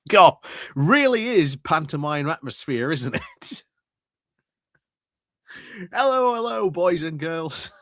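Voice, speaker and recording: A man's voice: a little high, sing-song, slow, long pauses, a bit bright, no echo, studio quality. A man giving a casual, rambling livestream monologue about local politics, full of fillers, restarts and little chuckles. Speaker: political commentary streamer.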